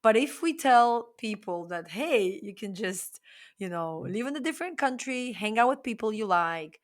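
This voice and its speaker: A woman co-hosting a casual podcast conversation, thinking aloud in a reflective way with frequent pauses. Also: a little high, expressive. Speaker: podcast co-host.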